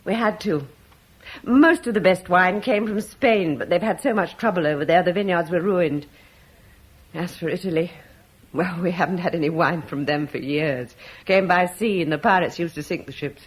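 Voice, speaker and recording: A gracious Roman wife. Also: female voice, on the low side, moderate pace, slightly rough. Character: warm, chatty middle-aged Roman-British wife. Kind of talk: 1960s BBC radio drama acting.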